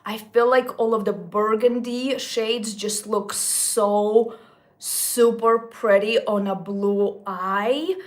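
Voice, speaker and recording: Slow-talking woman gushing about makeup. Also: a little high, fairly even intonation. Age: 30s. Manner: chatty and gushing, with slips and restarts. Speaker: beauty vlogger. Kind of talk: casual makeup talk to viewers.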